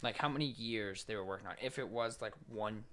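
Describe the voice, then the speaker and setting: A man's voice: fairly low pitch, a little fast, pushed and bright. A man co-hosting a podcast in casual, slangy conversation, thinking aloud as he goes. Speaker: podcast co-host. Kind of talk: casual chat about video games.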